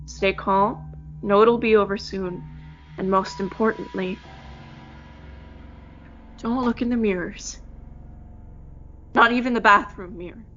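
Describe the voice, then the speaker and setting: A woman's voice: mid-range voice, steady tone, slow, pauses often, fairly bright tone. A woman playing an anxious, uneasy pupil in a horror audio drama, speaking in clipped phrases. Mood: tense self-calming. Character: anxious pupil clinging to rules. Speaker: actor. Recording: dull lo-fi sound over music.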